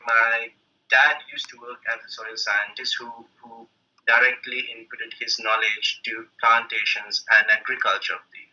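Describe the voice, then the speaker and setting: A man's voice: medium pitch, bright, forceful tone. A man with a Sri Lankan accent giving a conversational presentation with personal stories, as an academic speaker over an online call. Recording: muffled lo-fi online call audio.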